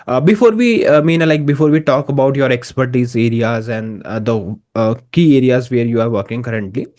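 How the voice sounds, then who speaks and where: A man hosting a podcast interview, asking loose, halting questions with many fillers. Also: medium pitch, a little mellow. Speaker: podcast host. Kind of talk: interview host.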